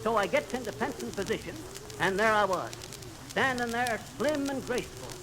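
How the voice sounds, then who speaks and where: A high-pitched American boastful teller of tall tales in a 1930s radio comedy. His folksy delivery swoops theatrically through every brag, over a noisy vintage recording. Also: expressive, moderate pace. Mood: dramatic, swaggering. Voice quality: folksy, colloquial diction. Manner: bragging, theatrical storytelling.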